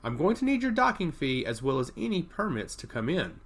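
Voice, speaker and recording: A man's voice: a little high, big pitch swings, fairly quick, fairly bright tone, voice pushed up, full character voice. A man voicing a businesslike harbor official in formal, matter-of-fact storybook dialogue. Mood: officious. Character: businesslike male harbor official demanding papers.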